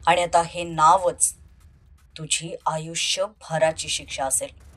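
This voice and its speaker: A woman voicing a menacing, possessive young male villain who speaks in a slow, commanding, coldly threatening way in an audio love story. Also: deep voice, level tone, a bit bright, pitched below the performer's own voice. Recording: music under the voice.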